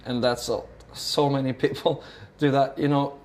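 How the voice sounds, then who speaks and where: A man's voice: mid-range voice, little pitch movement, moderate pace, a little mellow, reverberant. A man with a Norwegian accent talking casually in an interview, musing in a rambling, sweary way. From Norway.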